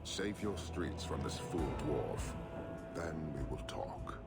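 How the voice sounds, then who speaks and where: A man playing a stern, imposing giant military leader in a video game, speaking in terse, formal, deliberate and cryptic sentences. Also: rumbling low, animated, loud music behind the voice, exaggerated voice. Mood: disdainful, stern. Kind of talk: video game cutscene acting.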